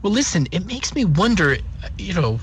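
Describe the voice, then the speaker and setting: A man speaking as a lawyer on a morning radio show while driving, working through legal questions in a measured, casual way with a few restarts. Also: sits high, sing-song. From Southern Colorado. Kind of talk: casual radio call-in chat. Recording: loud road noise.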